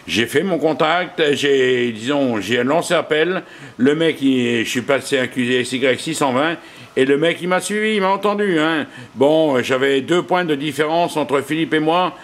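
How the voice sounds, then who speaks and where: A man working as an amateur radio operator, chatting casually and colloquially, with plenty of radio jargon. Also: mid-range voice. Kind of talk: casual radio contact chat.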